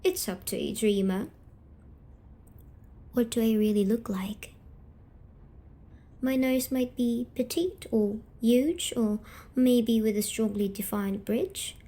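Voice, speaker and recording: A woman voicing a gentle, wounded female sleep paralysis demon in an ASMR roleplay, coaxing softly and deliberately with breathy half-whispers. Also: fairly high pitch. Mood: coaxing, teasing. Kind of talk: slow ASMR storytelling roleplay.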